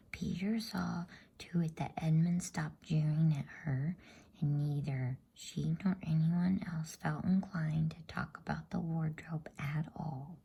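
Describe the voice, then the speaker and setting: A woman whispering a bedtime story as ASMR in a soothing, hushed, drawn-out voice. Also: low voice. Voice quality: breathy whisper.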